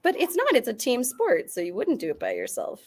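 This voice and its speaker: A woman's voice: fairly high pitch, huge pitch swings, fairly quick, darkish tone, slight background noise. A woman arguing insistently as a guest in a casual comedic panel debate. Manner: argumentative, insistent.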